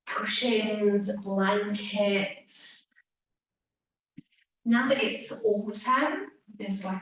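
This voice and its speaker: A woman teacher leading a guided relaxation meditation, speaking gently with long pauses and hushed phrase endings. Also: mid-range voice. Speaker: yoga nidra teacher. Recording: echoey room, distant mic, faint hiss.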